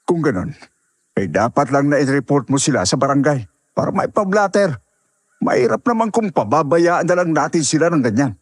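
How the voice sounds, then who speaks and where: Man acting as protective old grandfather, slightly high-voiced. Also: very animated, moderate pace, no room sound, studio quality. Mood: concerned, firm. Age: older. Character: old grandfather, protective, cautious fighting mentor. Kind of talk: audio horror drama acting.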